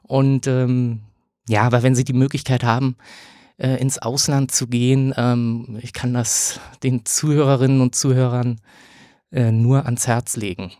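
A man in his thirties, a lawyer answering interview questions in a hesitant way, with many filler sounds and pauses. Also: medium pitch, little pitch movement, mellow, no echo, studio quality. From Germany. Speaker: podcast guest, lawyer.

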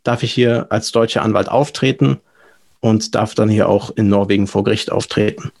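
A man's voice: fairly low pitch, fairly even intonation, moderate pace, gravelly, a little mellow. A young adult man with a German accent, talking casually and explaining things as a podcast guest. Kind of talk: casual explanatory interview talk.

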